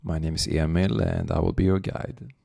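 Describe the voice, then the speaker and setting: A man leading a guided meditation as the guide, speaking gently and unhurriedly with long pauses. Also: low voice, vocal fry, dry recording, clean studio sound.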